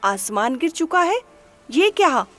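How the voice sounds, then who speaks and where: A woman's voice: very high voice, a little slow, a bit bright, soft background music, pitched above the performer's own voice. A woman doing a confused bear. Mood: baffled. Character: gullible male bear.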